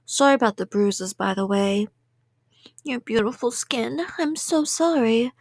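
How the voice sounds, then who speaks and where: A woman plays a doting, delusional yandere in an ASMR roleplay. Her voice is rather high and very slow, recorded close and dry. She rambles sweetly and playfully, slipping toward whispers, cheerfully obsessed. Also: animated, creaky, no echo, heavily acted. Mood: breezy rambling, then tender, pouting.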